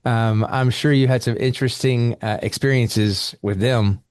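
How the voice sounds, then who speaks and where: A man's voice: medium pitch, steady tone, unhurried, a little mellow, no echo. Podcast host man, dry close sound. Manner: curious, restarts his questions. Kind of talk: conversational interview questions.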